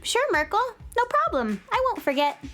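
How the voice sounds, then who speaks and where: A woman's voice: very high voice, huge pitch swings, normal speed, fairly bright tone, soft background music, heavily acted. A woman voicing a cheerful, friendly young space schoolgirl in a children's audio drama, polite and reassuring. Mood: upbeat, reassuring.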